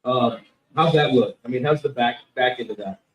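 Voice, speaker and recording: Easygoing host asking questions in a hall. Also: male voice, medium pitch, normal speed. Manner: easygoing questions with repeated words. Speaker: podcast co-host, interviewer. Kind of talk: casual interview. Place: convention hall. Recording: echoey hall.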